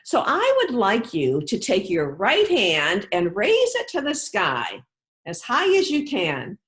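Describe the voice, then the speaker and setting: A woman author reading aloud and talking directly to children in an encouraging, instructive way with deliberate, emphatic phrasing. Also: mid-range voice, wildly swooping pitch, fairly bright tone.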